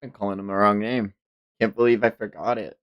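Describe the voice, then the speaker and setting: A man's voice: lowish voice, steady tone, fairly quick, highs cut off. A man streaming a comic read-along, narrating dramatically and reacting in casual, profane language.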